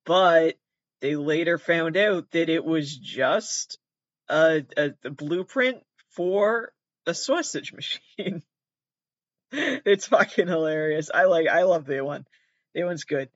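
A man's voice: a little high, takes it slowly, no room sound, highs cut off. A man hosting comedic commentary on pranks, rambling, swearing and joking at his own expense. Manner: rambling, swearing, self-deprecating.

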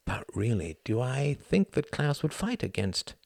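Casting: male reader playing curt, tired, reserved English male in a novel, argumentative, very varied pitch, dry sound. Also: big pitch swings, measured pace, creaky, darkish tone, no echo, exaggerated voice. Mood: doubting. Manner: curt, brusque. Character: irritable, weary young Englishman, argumentative and reserved.

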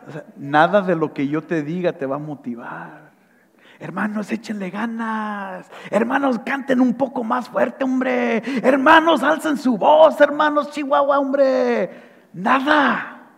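A man preaching an animated sermon, swinging between loud excited voices and soft hushed lines. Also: extremely high pitch, unhurried, vocal fry, slightly rough. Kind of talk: animated sermon with acted-out anecdotes. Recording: long hall reverb.

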